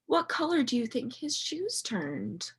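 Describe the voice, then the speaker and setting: A woman reading a picture book to young children like a teacher, expressive and questioning, with dramatic emphasis and a slightly husky voice. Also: high voice. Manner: animated, expressive storytelling with dramatic emphasis and pauses. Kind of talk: interactive children's read-aloud, asking questions.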